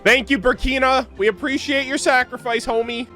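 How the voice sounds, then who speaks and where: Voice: high-pitched, steady tone, normal speed. A streamer giving casual live reactions to a game, slangy and joking. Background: faint game music.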